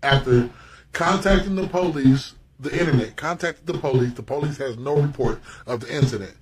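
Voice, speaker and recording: Brief: insistent male social-video commentator, mid-pitched, far from the mic, echoey room with a crowd behind. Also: moderate pace. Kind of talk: recorded social video rant.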